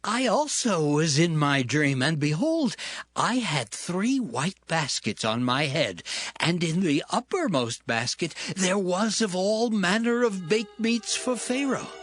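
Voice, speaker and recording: Man acting husky, slow, hopeful royal baker. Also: high-pitched, very animated, a bit bright, dry recording, clean studio sound, full character voice. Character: Pharaoh's chief baker, hopeful male servant recounting dream. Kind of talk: dramatized Bible audio acting.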